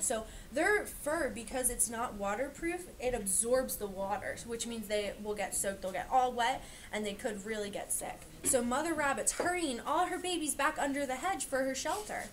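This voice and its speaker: A woman reading a picture book aloud to young children, pausing to explain and point things out. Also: on the high side, a bit bright, a little hiss or hum. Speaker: reader teaching young children.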